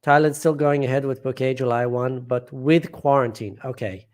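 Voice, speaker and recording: A man's voice: medium pitch, moderate pace, darkish tone. A man hosting a casual live stream from home, reading viewer comments aloud and rambling, often trailing off and restarting.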